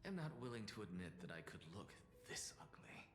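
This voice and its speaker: A man's voice: on the low side, big pitch swings, fairly quick. An actor playing an arrogant, irritable young male scholar in a video game, with a sarcastic, exasperated manner. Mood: dry, sardonic. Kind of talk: scripted video game voice acting. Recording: echoey long reverb over music.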